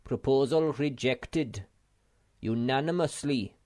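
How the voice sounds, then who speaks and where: A man's voice: mid-range voice, slow pace, voice pushed up, lightly acted. A man voicing a bossy, pompous male know-it-all in a storybook reading. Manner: pompous, loud.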